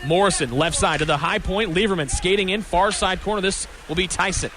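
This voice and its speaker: A man's voice: high-pitched, brisk, noisy background. A man doing live radio hockey play-by-play, describing the action in clipped, descriptive fragments. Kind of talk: live sports commentary. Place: hockey arena during a live game.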